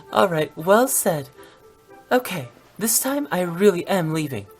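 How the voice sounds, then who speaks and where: A mischievous young funeral director teases and bubbles along. Her voice sits slightly low. Faint music plays behind her. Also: very animated, takes it slowly. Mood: playful. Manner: cheeky, bubbly and teasing. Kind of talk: video game voice acting.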